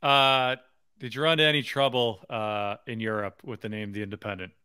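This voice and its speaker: A man's voice: mid-range voice, level tone, brisk, sharp tone, clean tone, no echo, studio quality. A man in his thirties co-hosting a sports podcast, speaking casually into a desk microphone indoors. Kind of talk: casual postgame sports chat.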